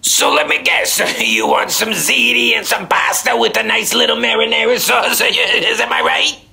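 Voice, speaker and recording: A man's voice: very high voice, normal speed, vocal fry, bright, forceful tone, heavily acted. An actor playing a chatty, over-friendly, pushy male motel clerk in a radio drama, with an effusive, folksy manner. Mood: jovial, pushy.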